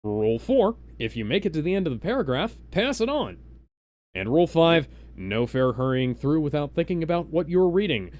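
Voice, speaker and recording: A man hosting a live stream, casually explaining things in a halting, rambling way with mock-serious asides. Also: a little high, big pitch swings, a little mellow. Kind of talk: casual live introduction, explaining party game rules.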